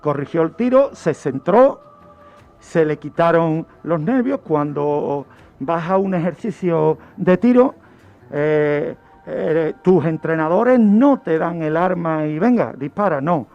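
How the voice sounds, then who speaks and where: A man's voice: fairly high pitch, expressive, takes it slowly. A man tells true-crime history on the radio, emphatic and explanatory, asking his own questions as he goes. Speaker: criminologist, regular true-crime narrator on a radio show. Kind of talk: storytelling radio commentary. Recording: dull lo-fi radio audio with music.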